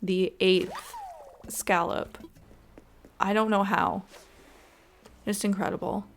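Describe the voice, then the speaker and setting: A woman's voice: mid-range voice, takes it slowly, slight background noise. A woman giving casual, chatty gameplay commentary in an informal way. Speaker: gaming video host.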